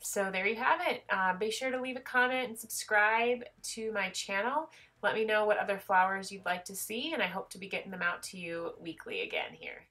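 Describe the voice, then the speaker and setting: A woman's voice: medium pitch, animated, a little fast, sharp tone. A woman with a head cold explaining a craft project step by step in a chatty, self-deprecating tutorial voice.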